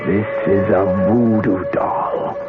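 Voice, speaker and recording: An actor playing a vengeful voodoo practitioner in a 1970s radio drama, speaking with slow, solemn theatrical intensity. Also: male voice, on the low side, fairly even intonation. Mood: ominous. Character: vengeful voodoo practitioner casting a deadly spell. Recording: tinny vintage radio broadcast, music underneath.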